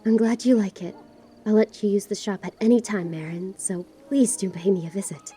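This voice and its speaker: A woman's voice: medium pitch, fairly even intonation, fairly quick, mellow, soft background music, lightly acted. A woman playing a friendly, eager young shopkeeper in a fan audio drama. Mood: warm, grateful.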